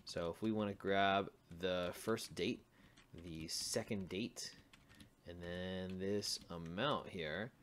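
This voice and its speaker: Male tutorial host, lowish voice, very unhurried, thinks aloud over keyboard typing and clicks. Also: a bit bright. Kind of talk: casual screencast coding walkthrough. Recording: typing and mouse clicks, faint noise. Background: computer keyboard typing and clicks.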